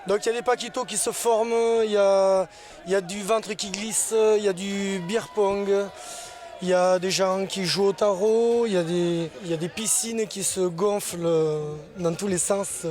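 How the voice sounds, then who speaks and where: A man with a fairly high voice answers interview questions in a relaxed, unscripted way. He stops and restarts often, filling gaps as he goes. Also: normal speed, faint music behind. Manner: hesitant, many fillers and restarts.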